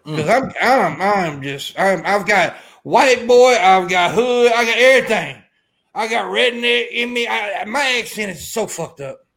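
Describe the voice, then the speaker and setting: A man with a raspy voice and an American accent, bantering casually and profanely as a livestream host. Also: high voice, a little slow, a bit bright.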